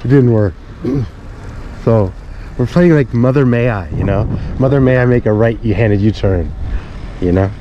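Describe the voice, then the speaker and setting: A man's voice: medium pitch, measured pace, long pauses. A middle-aged man chatting casually and ramblingly to viewers while out riding, with jokey asides. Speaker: vlogger. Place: outdoors on a suburban street. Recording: dull action-camera audio, outdoor street noise.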